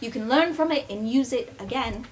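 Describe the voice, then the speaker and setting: Higher-pitched woman, matter-of-fact game player. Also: moderate pace, a little hiss or hum. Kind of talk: improvised in-character game dialogue. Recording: music bed under the voice.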